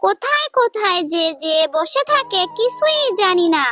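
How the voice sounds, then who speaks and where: Cartoon wicked aunt, played by a voice performer: harsh, demanding, piercingly high and bright. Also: sing-song, moderate pace, exaggerated voice. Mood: irritated. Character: cruel, nagging aunt ordering an orphan around. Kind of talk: children's cartoon dialogue.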